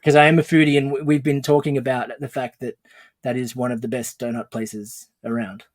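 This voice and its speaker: A man's voice: mid-range voice, level tone, a little fast, no echo. A middle-aged man hosting a podcast interview, upbeat and enthusiastic, laughing often. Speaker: podcast host.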